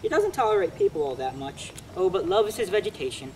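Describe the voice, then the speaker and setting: A friendly, gossipy workman with a family at home, played by a woman in an amateur reading. The voice bounces lively and quick. Background noise sits under every line. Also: mid-range voice. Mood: teasing. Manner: chatty, folksy, teasing. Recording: noisy amateur recording.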